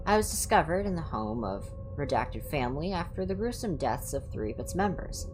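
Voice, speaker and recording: A woman livestreamer reading horror entries aloud in an ASMR style, with casual asides. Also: low-pitched.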